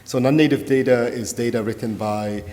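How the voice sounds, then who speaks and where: A man's voice: medium pitch, fairly even intonation, normal speed, darkish tone, in a large hall. A man leading a conference Q&A discussion as organizer, hesitant with many fillers and restarts. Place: conference room.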